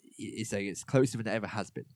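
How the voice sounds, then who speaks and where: A young man with a lowish voice co-hosts a casual podcast chat. He rambles, leaning on "like" and "you know" as he goes. The sound is dry and close. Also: quick pace, a little mellow, dry recording. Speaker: podcast co-host.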